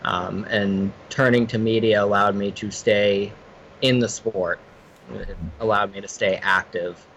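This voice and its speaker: Man, lower voice, interview guest with faint background noise, halting speech. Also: fairly even intonation, a bit bright, poor audio. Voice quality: halting, repeats words. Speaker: podcast guest, retired fighter and photographer. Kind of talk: casual interview.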